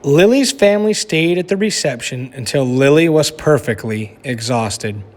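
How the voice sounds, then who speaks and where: A man teacher reading a picture book aloud to children with animated storytelling, then asking them questions about it. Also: fairly high pitch, moderate pace. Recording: echoey room with long reverb.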